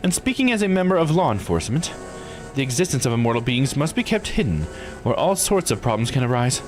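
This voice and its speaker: A man voicing a composed, blunt law officer in a visual novel, explaining things in a measured, formal way. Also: mid-range voice, sing-song, music in the background, natural voice. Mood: firm, explanatory.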